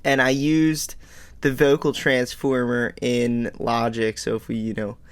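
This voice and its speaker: Producer dude in his home studio, slow easygoing talk, rambles and jokes about himself. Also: mid-range voice, level tone, clear, resonant tone. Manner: rambling, self-deprecating, many fillers. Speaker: music producer teaching. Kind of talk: casual tutorial walkthrough. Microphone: studio microphone.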